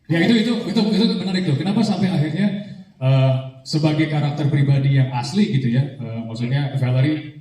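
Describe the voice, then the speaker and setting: A man moderating a press conference, brisk and upbeat, mixing Indonesian with English phrases. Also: on the high side, warm tone. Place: event hall. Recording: echoey hall recording from a distance.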